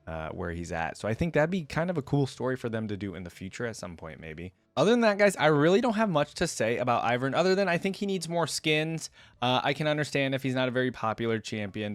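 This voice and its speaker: A man's voice: lowish voice, no room sound. A man hosting a reaction video, giving enthusiastic, rambling, off-the-cuff commentary in a casual way.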